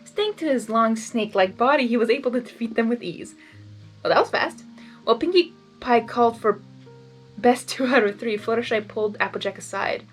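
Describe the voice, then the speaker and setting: A woman's voice: on the high side, lively intonation, a little fast, soft background music. A woman doing a blind reading of a fan story, stumbling on words and adding gushing asides. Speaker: reader.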